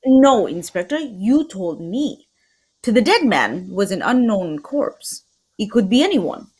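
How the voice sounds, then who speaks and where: A woman's voice: mid-range voice, very animated, a little slow, a little mellow, breathy edge. A woman playing a composed, self-assured male detective, speaking precisely and theatrically in an old-time radio mystery. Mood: patient, explaining.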